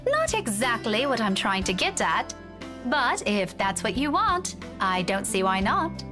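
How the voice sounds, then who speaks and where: A woman performs a prim young female teacher in a visual novel, easily outraged and emphatic. The voice sits fairly high and moves around with animated, exasperated swings. Music is clearly audible behind it. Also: a little slow. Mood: gentle, flustered. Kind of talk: visual novel character voice-over.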